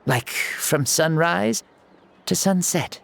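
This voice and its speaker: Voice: medium pitch, lively intonation, slow, gravelly, fairly bright tone, heavily acted. An actor voicing a boastful ancient fire-god warrior who now lives in a young boy's body, jaunty and then grand and solemn. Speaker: game character. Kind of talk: video game voice acting.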